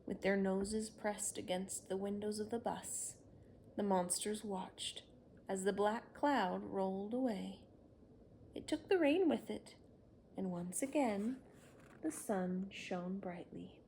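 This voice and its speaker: A woman's voice: medium pitch, slow, a little mellow, slight background noise. A woman reading a children's story aloud, narrating expressively and without hurry. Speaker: storybook reader.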